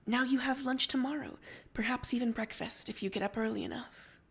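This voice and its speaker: A woman acts out a knowing, composed girl in a story reading. She speaks softly and with measure, in a fairly high voice, gently teasing. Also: vocal fry, breathy edge. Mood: soft, gentle. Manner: soft, quiet, measured.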